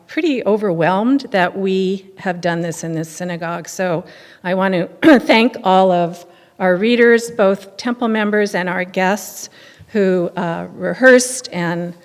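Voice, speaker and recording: An older woman addresses a gathering off the cuff, halting and measured. Her voice is fairly low and resonant. It rings through a large sanctuary. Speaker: committee chair thanking participants. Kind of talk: unscripted closing remarks to a gathering. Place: synagogue sanctuary at a podium. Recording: reverberant hall.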